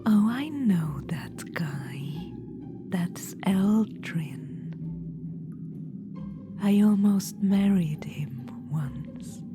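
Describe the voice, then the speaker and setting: A woman's voice: medium pitch, animated, very slow. A woman voicing a scheming undead witch-queen in a whispered audio roleplay, confiding and fond. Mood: amused. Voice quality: soft whisper. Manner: confiding, fond, slyly amused. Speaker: ASMR roleplay performer. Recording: music underneath. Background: background music.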